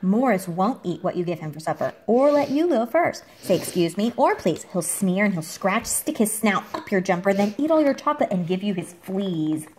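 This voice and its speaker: Very lively woman reading a storybook aloud. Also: mid-range voice. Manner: theatrical, dramatic emphasis and pauses. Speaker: parent reading aloud to a child. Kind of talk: expressive picture-book read-aloud. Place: indoor room at home. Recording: home recording with clear background noise, clicks.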